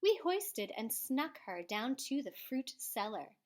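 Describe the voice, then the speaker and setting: Slow, animated woman reading a storybook. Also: fairly high pitch. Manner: theatrical, drops to hushed tones and swells. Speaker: elementary school librarian reading aloud. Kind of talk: expressive children's storybook read-aloud.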